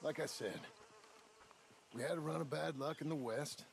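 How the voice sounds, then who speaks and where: An actor playing a cocky, glib outlaw dealmaker in a game, speaking in a folksy way with an American accent. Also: male voice, fairly high pitch, moderate pace, gravelly, slight background noise. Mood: ingratiating. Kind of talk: dramatic game cutscene acting.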